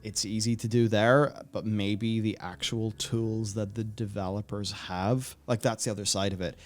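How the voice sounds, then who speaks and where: A man's voice: lowish voice, steady tone, normal speed, soft background music. A man hosting a casual gaming discussion, reading and answering viewer comments in a rambling way as he thinks aloud.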